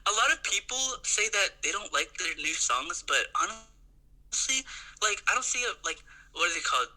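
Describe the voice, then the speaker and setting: Bright, energetic young man from the Philippines. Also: high voice, moderate pace, low-quality recording. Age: young adult. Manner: rambling, enthusiastic, many fillers and restarts. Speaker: podcast guest. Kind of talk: casual chat.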